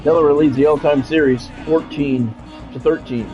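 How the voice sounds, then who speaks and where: An American man doing live play-by-play of a video game football match in a measured announcer style, mixed with casual chat to stream viewers. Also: a little high, steady tone. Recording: dull lo-fi sound with music behind.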